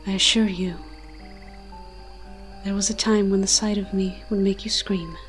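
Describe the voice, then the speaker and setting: A woman's voice: medium pitch, steady tone, measured pace, faint music behind, close to an everyday voice. A woman voicing an ancient, moss-covered forest demon in an audio roleplay, tender and protective, speaking in solemn, poetic, archaic storytelling phrases. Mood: solemn, mysterious.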